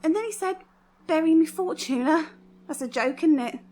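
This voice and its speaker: A woman's voice: high voice, normal speed, a little mellow, close to an everyday voice. An actress playing a despairing young working-class English servant girl in a vintage radio drama, speaking plaintively and emotionally. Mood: wistful. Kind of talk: 1940s radio drama acting.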